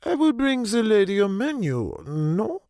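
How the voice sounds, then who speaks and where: Audio drama role: obsequious head waiter, very animated delivery, measured pace, close dry sound. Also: male voice, high-pitched, gravelly, no echo, heavily acted. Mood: eager to please. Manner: formal, flourishing, deferential. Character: fussy, theatrical French-style maître d', obsequiously polite.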